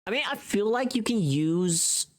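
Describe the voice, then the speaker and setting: A streamer chatting casually and playfully on a livestream, thinking aloud in an expressive way. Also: medium pitch, unhurried. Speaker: livestreamer and voice actor making a voice pack.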